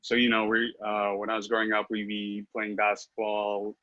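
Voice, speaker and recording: A man's voice: lowish voice, monotone, moderate pace, no echo, highs cut off. A man, raised in Los Angeles, chatting as a podcast guest, hesitant with many fillers and restarts. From Philippines, raised in Los Angeles. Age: 30s. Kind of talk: casual interview chat.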